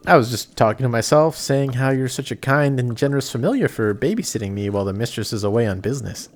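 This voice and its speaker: A young man playing a kindhearted apprentice sorcerer in an audio roleplay, chatty, excitable and easily flustered, with some stammering. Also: medium pitch, fast, natural voice. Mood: smug, chatty. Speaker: voice actor. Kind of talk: amateur audio roleplay acting addressed to the listener.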